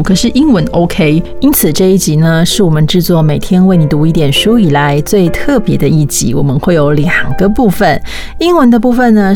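A woman host with a Taiwanese Mandarin accent reads a scripted program introduction. Her voice sits slightly low for a woman, with faint music playing behind her. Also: a little mellow.

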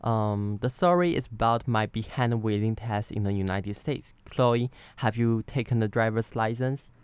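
Clear-voiced Taiwanese man telling a story. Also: lowish voice, moderate pace, dry recording. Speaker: podcast co-host. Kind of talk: semi-scripted personal storytelling.

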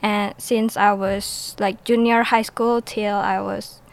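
A woman's voice: medium pitch, level tone, slow pace, creaky. A young woman with an Indonesian accent, a student answering questions as a studio guest. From Jakarta, Indonesia. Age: young adult.

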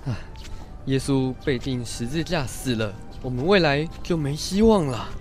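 An actor playing an anxious, doubting older village man in a radio drama, with expressive sighs and exclamations, as in a biblical tale. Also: medium pitch, moderate pace, faint music behind, natural voice. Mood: earnest, sorrowful.

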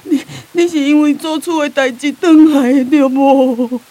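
A woman's voice: sits high, normal speed, dark tone, close to an everyday voice. An actress playing a confused old grandmother with a Taiwanese accent, plaintive and pleading, in a radio drama. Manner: plaintive, calling out in Taiwanese Hokkien.

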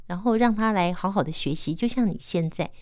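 A woman speaks Mandarin with a Taiwanese accent as a radio host. Her voice is slightly low and recorded very dry. She interviews conversationally, with an attentive, encouraging manner. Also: brisk, a little mellow, no echo.

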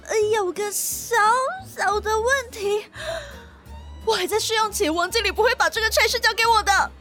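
A woman's voice: very high-pitched, normal speed, fairly bright tone, faint music behind. A woman voicing a flustered young female office worker on probation, who stammers and panics easily. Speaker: voice actor in an audio drama. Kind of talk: modern audio drama acting.

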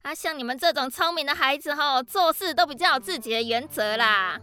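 Chatty high-pitched Taiwanese neighborhood mom. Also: female voice, fairly quick, bright, forceful tone, faint music behind. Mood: friendly, chatty. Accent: Taiwanese Mandarin. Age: middle-aged. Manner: effusive, chatty, mixes in Taiwanese Hokkien. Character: chatty, friendly neighborhood mother asking a favor. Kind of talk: radio drama acting.

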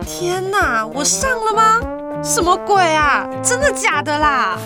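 A young woman with a Taiwanese Mandarin accent playing an excitable, chatty, teasing university student in an audio drama. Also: extremely high pitch, measured pace, loud music behind the voice, heavily acted. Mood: thrilled disbelief. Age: young adult. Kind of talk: audio drama acting, casual chat.

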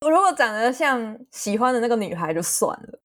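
A woman's voice: fairly high pitch, big pitch swings, normal speed, fairly bright tone. A woman with a Taiwanese accent chatting casually as a podcast co-host. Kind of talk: casual chat.

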